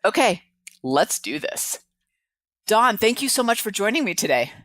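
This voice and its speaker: Chatty podcast host, middle pitch, upbeat, dry close mic, laughs easily. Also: big pitch swings, moderate pace, fairly bright tone, no echo. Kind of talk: casual interview intro and chat.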